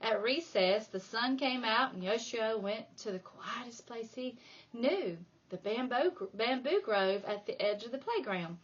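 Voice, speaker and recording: A woman reading a children's storybook aloud like a primary school reader, with dramatic emphasis and drawn-out key words. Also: on the high side, animated, slightly rough, lo-fi sound. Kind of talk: expressive children's storybook narration.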